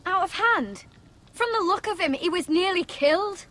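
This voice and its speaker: Young wildling sister, high pitch, sharp-tongued, blunt, distrustful, commanding, fantasy game drama. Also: female voice, expressive, moderate pace. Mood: stern, distrustful. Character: blunt, suspicious, protective free-folk sister. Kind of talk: dramatic video game acting.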